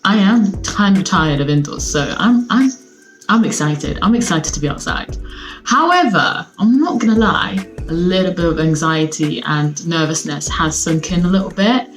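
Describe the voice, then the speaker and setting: A young woman from Sweden talks straight to her viewers in a relaxed, upbeat home vlog. Her voice sits a little low for a woman. She chats in a loose, rambling way with plenty of fillers, and faint music plays underneath. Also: normal speed, low-quality recording. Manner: chatty, upbeat, lots of fillers. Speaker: vlogger. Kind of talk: casual chat to camera. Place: at home, seated.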